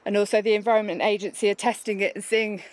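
A woman's voice: mid-range voice, fairly even intonation, fast talker, darkish tone. A woman explaining things informally in an interview outdoors. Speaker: campaigner being interviewed. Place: outdoors by a river. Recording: faint outdoor ambient noise.

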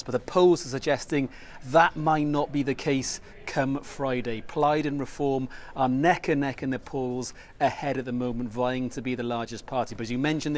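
A man reporting live as a political correspondent, explaining the polls in a measured way. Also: medium pitch, a little mellow, a little hiss or hum.

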